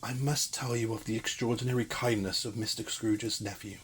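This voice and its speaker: An actor playing a kindly, gentle, grieving father in an audio drama. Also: fairly low pitch, fairly even intonation, normal speed, slight background noise, close to an everyday voice. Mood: gentle, subdued.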